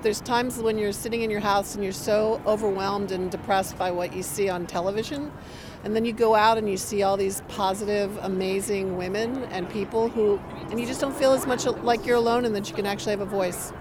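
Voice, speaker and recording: Female march interviewee, mid pitch, sincere unscripted speech, noisy outdoor setting. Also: fairly even intonation, fairly quick. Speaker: march participant being interviewed. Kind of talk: spontaneous, heartfelt interview answer. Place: outdoors in a city. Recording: clear outdoor street noise.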